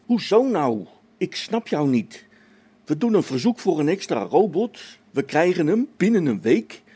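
A man's voice: on the high side, very animated, a little slow, warm tone, breathy edge, heavily acted. A man playing a practical, down-to-earth space-station technician in a radio play, brusque and colloquial. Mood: irritated. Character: practical, matter-of-fact male space-station technician, mildly irritable. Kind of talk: Dutch radio play acting.

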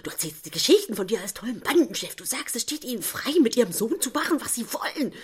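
A woman's voice: on the high side, very animated, quick pace, husky, exaggerated voice. A woman playing an easily outraged middle-aged, middle-class mother in a stage-style drama, emphatic, righteous and cutting. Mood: accusing, disgusted. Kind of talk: theatrical ensemble dialogue.

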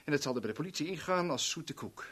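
Sadistic cocky crook, husky, wildly varied intonation, gloating, vintage radio drama. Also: male voice, a little high, lively intonation, a bit bright. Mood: menacing. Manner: taunting, theatrical, drawn-out phrasing. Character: cocky, sadistic male villain, insolent and gloating. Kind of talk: 1970s Dutch radio drama acting.

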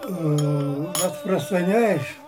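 A man speaking in heartfelt short phrases, pausing between them for an interpreter. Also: a little high, music in the background. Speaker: interviewee, local acquaintance. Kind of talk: heartfelt conversational praise.

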